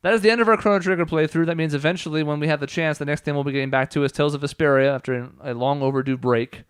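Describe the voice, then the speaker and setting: A man's voice: on the high side, steady tone, very fast, clean tone, no room sound, clean studio sound. A man giving casual, explanatory gameplay commentary as the host of a let's play.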